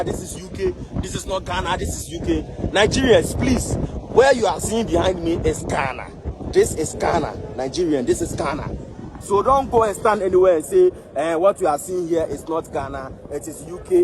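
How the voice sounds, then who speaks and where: A man talking straight to camera outdoors, repeating himself emphatically as he addresses viewers. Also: high voice. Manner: repetitive, emphatic. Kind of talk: insistent direct-to-camera rant. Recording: noisy street with crowd.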